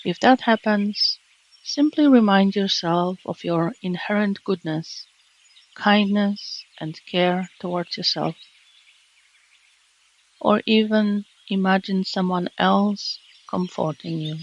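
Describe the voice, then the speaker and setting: Slow-paced woman guiding a meditation. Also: mid-range voice, muffled recording. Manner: gentle, calming, measured delivery with long pauses. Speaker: meditation teacher. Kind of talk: guided meditation narration. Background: birdsong and nature sounds.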